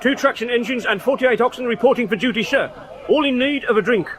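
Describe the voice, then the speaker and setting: A cheeky but dutiful British sailor in a radio drama. His voice is extremely high for a male, with brisk reporting phrasing. Crowd bustle surrounds him outdoors. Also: a bit bright. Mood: cheery.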